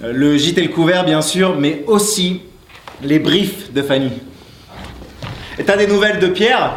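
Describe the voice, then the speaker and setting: An easygoing mountain hut regular, played by a man, chats in a stage play. His fairly high voice rings in a roomy space over faint hiss. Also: measured pace, natural voice. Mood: relaxed.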